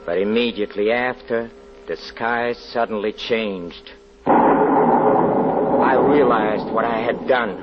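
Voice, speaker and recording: An actor playing an anguished, haunted fortune teller in an old radio drama, halting and brooding as he confesses. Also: fairly low pitch. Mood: haunted dread. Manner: halting, brooding, repeats words with dramatic hesitation. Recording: dull vintage radio recording, music underneath.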